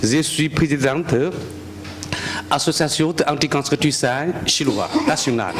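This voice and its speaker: A man from China with a Chinese accent, a guest surgeon speaking halting, simple French. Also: a little high. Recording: noisy room.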